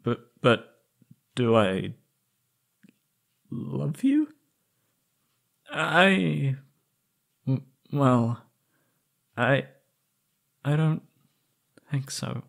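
A man's voice: medium pitch, sing-song, extremely slow, gravelly, pauses often, heavily acted. A man playing a timid, frightened young male captive in an ASMR roleplay drama, stammering nervously and hesitating. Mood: hesitant, uneasy. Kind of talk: ASMR roleplay audio drama, intimate monologue.

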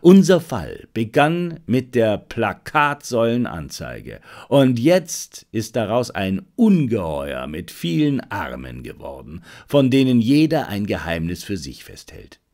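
Man playing confident gentleman detective, animated intonation. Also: lowish voice, a little slow, few pauses, pitched below the performer's own voice, full character voice. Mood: satisfied, musing. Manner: commanding, self-assured, reflective.

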